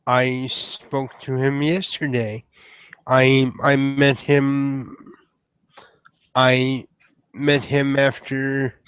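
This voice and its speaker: A man plays a well-mannered troll in a tabletop game. He talks very slowly, with halting, formal courtesy and a deferential politeness. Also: mid-range voice, level tone, fairly bright tone, smooth, dry recording, muffled recording. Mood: polite.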